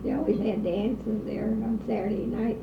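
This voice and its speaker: An older woman being interviewed, slowly reminiscing about her old neighborhood with pauses, hesitations and the odd cough. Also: a little high, level tone, vocal fry. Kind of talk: oral history interview. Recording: distant roomy lo-fi recording with noise.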